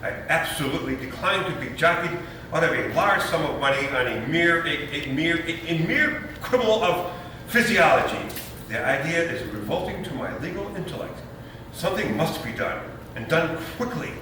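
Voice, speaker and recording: A man's voice: on the high side, animated, moderate pace, close to an everyday voice. An actor playing a pompous, scheming lawyer and new father in a stage comedy reading, blustering in grand emphatic phrases. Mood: indignant, blustering. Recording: echoey noisy hall from far off.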